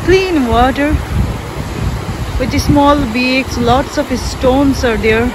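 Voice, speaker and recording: A woman's voice: high voice, very slow. A young adult woman, narrating a casual walking tour as a travel vlogger. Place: outdoors in village streets and balconies. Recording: clear outdoor ambient noise, passing traffic.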